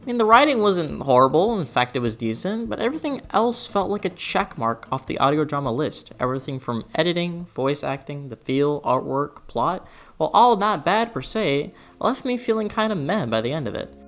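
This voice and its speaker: A person delivering a scripted, opinionated video essay in an expressive, emphatic way with conversational asides. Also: medium pitch, normal speed. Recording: music behind the voice. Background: background music.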